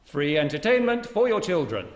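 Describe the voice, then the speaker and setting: A man's voice: on the high side, very animated, moderate pace. A man playing a showy barker in a radio drama, proclaiming to the crowd in announcer's patter. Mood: showy, excited. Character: showy barker announcing monsters and free entertainment. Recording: echoey hall, long reverb.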